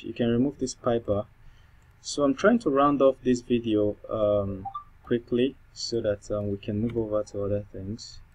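A man's voice: lowish voice, a little mellow. A man speaking English with a non-native accent, thinking aloud through a coding tutorial with many fillers and restarts. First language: not English. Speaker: programming tutor. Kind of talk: screen-recorded coding tutorial.

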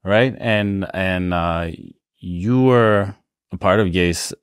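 A man's voice: low voice, slow pace, clear, resonant tone, no room sound, clean studio sound. A man hosting a podcast, asking easygoing, encouraging questions. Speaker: podcast host. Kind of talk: casual interview chat.

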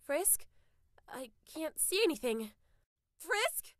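A woman's voice: high-pitched, very animated, slow pace, fairly bright tone, no echo, studio quality, exaggerated voice. A woman playing a sweet, affectionate young student in a comic dub. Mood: startled.

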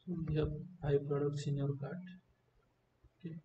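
A man giving an unscripted screen-recording walkthrough as a software demonstrator, speaking in a halting, hesitant way. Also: a little high, little pitch movement. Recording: muffled, roomy, faint background noise.

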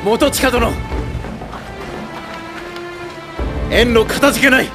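A man's voice: a little high, expressive, fast, natural voice. A man voicing a polite, grateful samurai general in a video game, with formal phrasing. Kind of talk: Japanese video game cutscene acting. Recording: loud music behind the dialogue.

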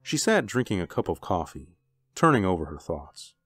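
Guy narrating a suspense radio play, low voice, music behind him. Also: sing-song, moderate pace, a bit bright. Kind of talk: scripted radio drama narration.

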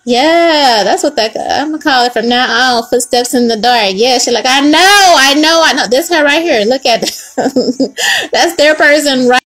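A woman's voice: high voice, moderate pace, fairly bright tone, poor audio. A woman talks through a tarot spread at her table in a loose, slangy way. She swears casually and drops in laughing asides, speaking close to the camera. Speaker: tarot reader. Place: indoors at a table with cards.

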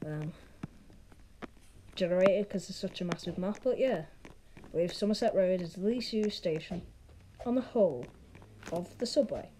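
Casting: chatty, opinionated game vlogger, mid-pitched with lively swings, casual rambling delivery, noisy recording with clicks. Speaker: video host. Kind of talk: casual gaming vlog commentary.

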